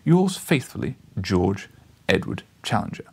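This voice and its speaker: A man voicing a pompous, scornful, overbearing professor with a haughty, deliberate, biting delivery, as in an audiobook. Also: fairly low pitch, very animated, darkish tone, slightly rough, full character voice.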